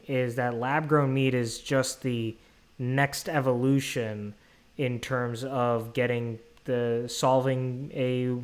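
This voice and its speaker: A man hosting an interview podcast, asking long, rambling questions full of restarts. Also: medium pitch. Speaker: podcast host.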